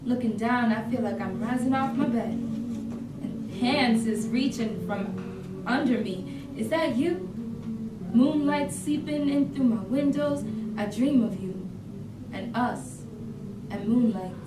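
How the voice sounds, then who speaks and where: A woman's voice: on the high side, slow, loud music behind the voice. Woman acting Ivy, resentful youngest sister, echoey room. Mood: dreamy. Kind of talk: staged play reading, dramatic monologue. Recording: echoey room, distant mic, noisy.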